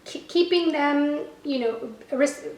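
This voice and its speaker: A woman's voice: high voice, slow, darkish tone. A woman whose first language is Gujarati, an online language teacher and business owner, giving casual advice to camera and correcting herself often. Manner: conversational, frequent "you know" and self-corrections. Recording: roomy echo, a little far from the mic.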